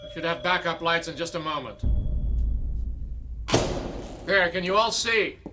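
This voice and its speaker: An actor playing a stern, impatient theater director who gives brisk, commanding orders and scolds his cast. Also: a little high, animated, over music.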